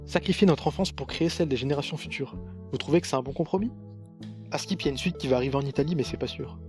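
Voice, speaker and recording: A man's voice: fairly high pitch, racing, over music. A man reading scripted commentary for a video review in a sarcastic, critical manner.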